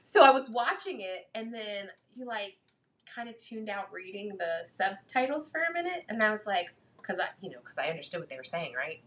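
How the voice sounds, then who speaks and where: A woman co-hosting a card-making live stream, chatting in an animated, giggly way. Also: medium pitch, a little fast, far from the mic. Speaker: co-host.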